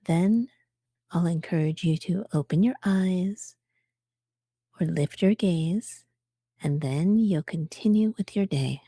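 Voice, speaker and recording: A woman narrating a guided meditation for beginners as the guide, speaking calmly and gently, in measured phrases with pauses. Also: on the low side, husky, dry recording, clean studio sound.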